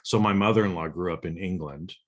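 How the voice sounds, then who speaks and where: A man's voice: low voice, a little fast. A man hosting a podcast, asking questions in a rambling, repetitive way. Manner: rambling, repeats words while framing questions. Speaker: podcast host. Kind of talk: conversational interview.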